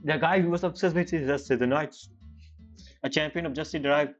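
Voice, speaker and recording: A woman's voice: sits low, fairly quick. A woman who is not a native English speaker, giving casual, hesitant, reactive commentary as she streams a game. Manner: chatty, reactive, hesitant phrasing. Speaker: gaming streamer. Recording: slightly dull mic, game music behind.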